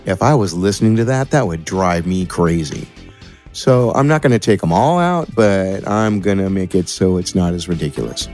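A man's voice: deep voice, animated, normal speed, a little mellow, soft background music. An American man talking casually and playfully as a podcast guest, explaining how he works in a laid-back, colloquial way. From California, USA. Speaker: podcast producer and audio editor, guest.

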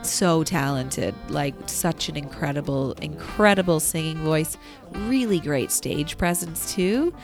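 Casting: woman radio co-host, slightly low pitch, upbeat and casual, music playing behind her. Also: animated, unhurried. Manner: upbeat, enthusiastic. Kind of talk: casual event shout-outs on local radio.

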